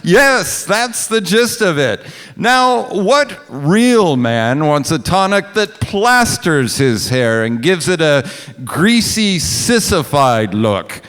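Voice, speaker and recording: A man delivering a scripted commercial as a 1940s radio announcer, with emphatic, measured salesmanship. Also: fairly high pitch, big pitch swings, fairly bright tone. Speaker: radio announcer reading the sponsor spot. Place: on stage in a hall. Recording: reverberant hall with music.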